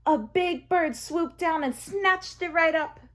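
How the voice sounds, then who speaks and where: A woman narrating a children's picture book aloud in an expressive, deliberate storytelling manner. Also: very high-pitched.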